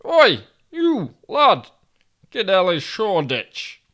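A man's voice: on the high side, sing-song, slow, a bit bright, voice pushed up, heavily acted. A man voicing a foul-mouthed, grumbling Yorkshire man of forty with a Yorkshire accent. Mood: indignant. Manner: cursing, grumbling, exasperated.

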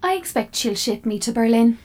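An actress playing an opinionated talking fridge in an audio drama. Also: female voice, a little high, expressive, a little fast, a little mellow, close to an everyday voice. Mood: matter-of-fact. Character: opinionated female smart fridge, offering help.

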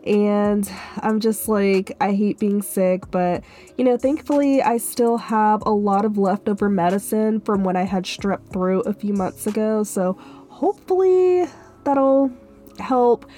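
A woman's voice: medium pitch, a little slow, mellow. A young woman with a cold and a stuffy nose hosts her own solo podcast, talking earnestly with lots of "um" and "like". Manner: earnest, filler-heavy casual talk. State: stuffy-nosed, sick. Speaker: podcast host. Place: Florida.

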